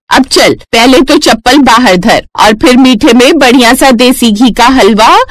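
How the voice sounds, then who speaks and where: A woman acts out an elderly village grandmother for a cartoon story, bossy and old-fashioned. She scolds in exclamations, her high voice swinging widely in a dry recording. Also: no room sound. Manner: exclaiming, scolding, rustic dialect speech.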